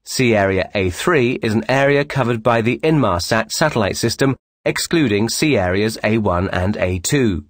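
Dry, low-pitched man narrating instructions. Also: expressive, normal speed, few pauses, dry recording.

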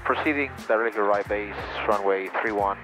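A man's voice: fairly low pitch, a little fast, poor audio. A young man speaks English as a pilot, giving cockpit commentary and radio calls into a headset in a light aircraft. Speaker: pilot and vlogger. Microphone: aviation headset boom mic. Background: music, engine and radio sounds.

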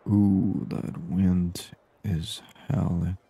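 A man speaks in a low, breathy hush, softly commenting on a game as if thinking aloud. His pace is slow and unhurried. The sound is intimate and dry, close on the microphone in ASMR style. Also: little pitch movement, no room sound. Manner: hushed, murmuring, thinking aloud. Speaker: gaming video host. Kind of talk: soft-spoken ASMR gaming commentary. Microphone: close binaural microphone.

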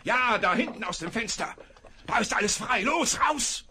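An actor playing a rough, bold jailbird outlaw in a 1970s radio drama, gruff and commanding, recorded from a noisy vinyl record. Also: male voice, very high-pitched, lively intonation, moderate pace. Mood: urgent.

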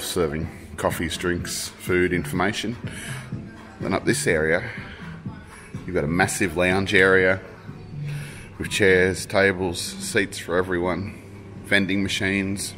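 A man's voice: low voice, unhurried, pauses often, music in the background. A man, casually narrating a travel vlog and listing features one by one. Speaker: travel vlogger. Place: hostel interior and city street outdoors. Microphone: handheld camera at arm's length. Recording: steady background noise.

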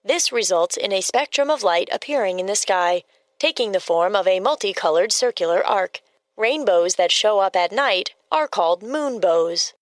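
A woman giving scripted encyclopedic asides in a deadpan, literal, precise manner. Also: mid-range voice, moderate pace, no echo. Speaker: fact-giving co-narrator.

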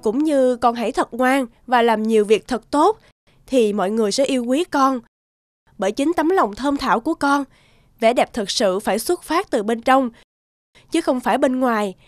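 A woman voicing a gentle, wise young mother in a children's cartoon. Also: fairly high pitch, moderate pace, no echo, studio quality, lightly acted. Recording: music behind the voice.

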